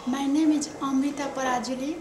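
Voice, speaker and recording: A woman from Nepal with a Nepali accent, introducing herself as an office volunteer in halting, non-fluent phrases. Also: sits high, little pitch movement. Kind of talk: simple interview self-introduction. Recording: echoey, distant mic, noisy background.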